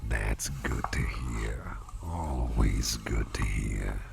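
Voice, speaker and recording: A man playing a gentle, doting businessman boss in an ASMR roleplay, murmuring soothingly in a gravelly, breathy half-whisper. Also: very deep voice, lively intonation, very slow, background noise, exaggerated voice. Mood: soothing, tender. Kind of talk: ASMR roleplay, personal attention.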